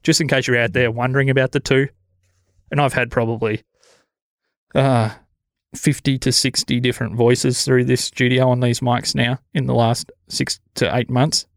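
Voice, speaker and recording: Low-key Australian man, sibilant, dry sound. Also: normal speed, vocal fry, dry recording. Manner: low-energy, relaxed. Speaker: podcast host. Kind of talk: casual chat. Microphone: studio dynamic mic.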